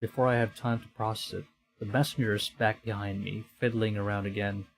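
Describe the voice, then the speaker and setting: A man's voice: on the low side, fairly even intonation, a little fast, rough recording. A man streaming a horror visual novel, reading it aloud with live reactions and acting out the dialogue dramatically.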